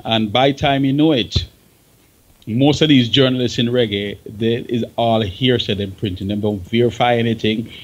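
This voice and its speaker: A man's voice: mid-range voice, steady tone, normal speed, highs cut off. A man with a Jamaican accent speaking as an outspoken talk show guest, bluntly and emphatically, repeating himself for stress. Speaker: guest, veteran music engineer.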